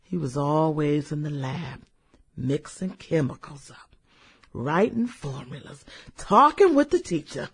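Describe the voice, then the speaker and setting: An actress playing a brooding, vengeful woman musing over old classmates in a radio drama, hesitant and husky. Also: low voice, animated, unhurried, exaggerated voice. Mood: cold, smug. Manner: musing, hesitant, chuckles and hums between thoughts. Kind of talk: radio drama acting, conversational monologue.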